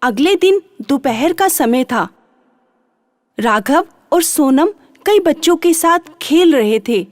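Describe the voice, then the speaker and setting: A woman's voice: high-pitched, expressive, slow pace, no room sound, studio quality. A woman narrating a children's bedtime story, repeating and drawing out phrases for drama.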